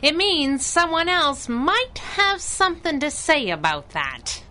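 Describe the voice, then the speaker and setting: A woman's voice: high-pitched, big pitch swings, takes it slowly, pushed and bright, exaggerated voice. A woman playing a plucky, sassy young masked sidekick in radio adventure style, tossing out teasing quips, with noisy effects behind her. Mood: guarded.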